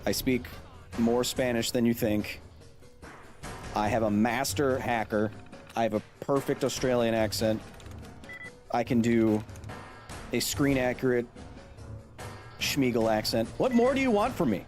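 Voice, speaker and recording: A man doing live gameplay commentary, goofy and excitable, who shouts at the game. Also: medium pitch, measured pace, pauses often, soft background music. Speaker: streamer.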